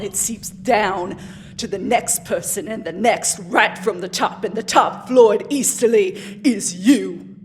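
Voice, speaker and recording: A grieving widow of the old west , acted by a woman, set on revenge. She speaks slowly and deliberately with quiet menace, as in an audio drama, with prominent music behind her. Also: medium pitch, lightly acted. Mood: anguished, angry. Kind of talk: western audio drama acting.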